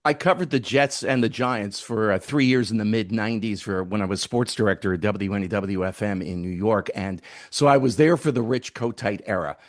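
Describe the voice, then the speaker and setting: A man's voice: fairly low pitch, a little fast, hardly any pauses. A middle-aged man hosting a conversational sports interview, setting up questions and recollections. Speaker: interviewer, former radio sports director.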